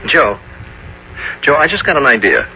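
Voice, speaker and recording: A man's voice: medium pitch, sing-song, normal speed, bright, forceful tone, a little hiss or hum, full character voice, poor audio. An actor playing a good-hearted, persuasive businessman in a 1970s radio drama. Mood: eager, persuasive.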